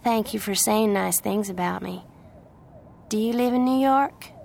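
A woman voicing a gentle, friendly young woman who is patient with children, in an audiobook story. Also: mid-range voice, unhurried, creaky, a little hiss or hum, voice pushed up. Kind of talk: audiobook character voice.

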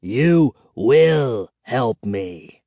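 Man voicing a slow, menacing old vampire count. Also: low voice, lively intonation, no room sound, clean studio sound, voice pushed down, full character voice. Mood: cold menace. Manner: slow, imperious, soft menace.

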